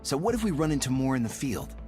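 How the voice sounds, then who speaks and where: A man plays an easygoing squad member in a tactical video game. He talks casually and dryly, with a lively, varied pitch. There is faint music behind. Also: fast, lightly acted. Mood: casual, upbeat. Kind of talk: game cutscene dialogue. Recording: music under the voice.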